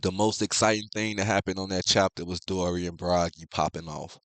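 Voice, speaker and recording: A young man with a very low, congested voice, talking loose and slangy as he hosts a manga chapter review. He sounds close and dry, with no room around him, and his throat stays thick. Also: steady tone, fairly quick, a bit bright, no room sound. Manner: laid-back slangy talk, heavy profanity. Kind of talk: casual chat.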